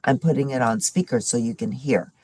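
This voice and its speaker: A woman's voice: rumbling low, fairly quick, darkish tone. A woman voicing a polite, practical young female character from a children's storybook, speaking in a matter-of-fact way.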